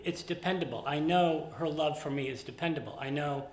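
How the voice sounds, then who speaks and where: A man's voice: fairly high pitch, brisk. A man in his seventies answering sincerely, repeating his point in several ways. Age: 70s. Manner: sincere, repetitive phrasing. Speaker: interviewee. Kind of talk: casual interview answers. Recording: echoey room.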